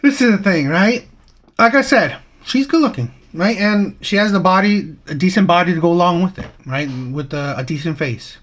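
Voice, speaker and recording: A man's voice: high voice, lively intonation, moderate pace, fairly bright tone. A man hosting a reaction channel, giving opinionated commentary with rhetorical questions and frequent "right?" checks.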